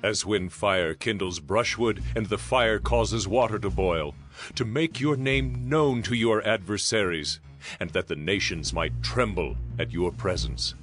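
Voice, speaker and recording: A man's voice: fairly low pitch, a bit bright. An actor playing a solemn man pleading with God in a dramatized Bible reading. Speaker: scripture narrator. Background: music.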